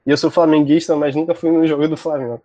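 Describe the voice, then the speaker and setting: A man's voice: a little high, flat delivery, fast talker, warm tone, no echo, highs cut off. A twenty-two-year-old man chatting on a podcast in a laid-back, joking way. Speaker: podcast guest. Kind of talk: casual podcast chat.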